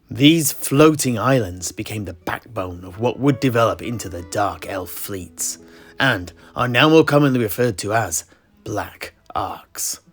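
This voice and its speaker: A man playing a scholarly, genial tavern keeper in a fantasy audio play, telling history in a wordy, theatrical way with deliberate pauses. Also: on the low side. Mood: grave, storytelling. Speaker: narrator and host of an in-universe audio play. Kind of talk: scripted in-character fantasy storytelling. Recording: plucked guitar music behind the voice. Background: plucked guitar music.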